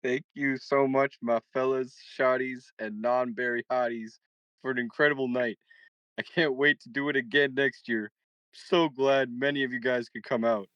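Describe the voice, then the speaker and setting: A man's voice: mid-range voice, fairly even intonation, moderate pace, a bit bright, no echo, muffled recording, close to an everyday voice. A man playing a rowdy, exuberant, flirty party host in a comedy table read.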